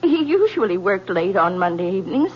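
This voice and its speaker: A guarded middle-aged widow, acted by a woman in an old radio play, speaking at an ordinary pitch. She hesitates and stammers as she answers. The recording is dull, with a faint hiss. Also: sing-song, fairly quick, lightly acted. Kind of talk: 1970s radio drama acting.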